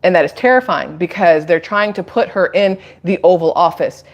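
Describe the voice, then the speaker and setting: A woman's voice: fairly low pitch, normal speed, lo-fi sound. Chatty American woman live streaming. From Atlanta, United States. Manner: chatty, rambling, emphatic asides. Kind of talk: casual political commentary to viewers.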